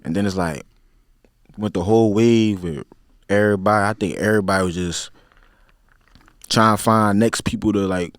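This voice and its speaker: A young man in his twenties speaks with a Philadelphia accent in a fairly low voice. He is a podcast guest who talks in a loose, rambling way, full of slang and fillers. The sound is dry and close, with no room echo. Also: fairly even intonation, normal speed, pauses often. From Philadelphia, USA. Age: 20s. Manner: laid-back, slang-heavy, rambling with many fillers. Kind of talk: casual interview chat.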